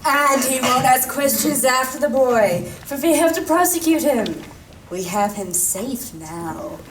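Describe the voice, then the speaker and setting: A woman's voice: high voice, lively intonation, a little slow. A woman playing a cool, scheming young pickpocket in an amateur radio play. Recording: distant echoey hall with clear noise.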